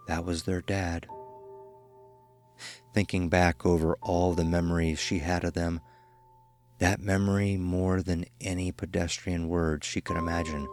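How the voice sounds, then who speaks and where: A man narrating a scripted horror story as a dramatic, measured storyteller. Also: low-pitched.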